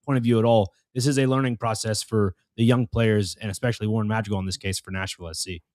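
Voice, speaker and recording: A man's voice: lowish voice, fairly even intonation, fast talker, a little mellow, no echo, studio quality. A man co-hosting a sports talk show, giving conversational commentary in an earnest, explanatory way and often restarting his phrases.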